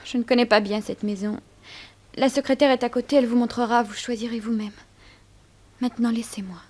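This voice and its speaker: A woman's voice: fairly high pitch, steady tone, brisk, a little mellow, lightly acted. A woman playing a genteel, trusting young lady in a 1960s French radio drama, refined and emotional. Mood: distressed, resigned.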